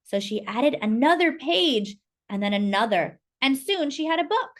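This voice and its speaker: A woman reading a children's picture book aloud with expressive, animated narration and quiet asides. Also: high voice, normal speed. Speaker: storyteller.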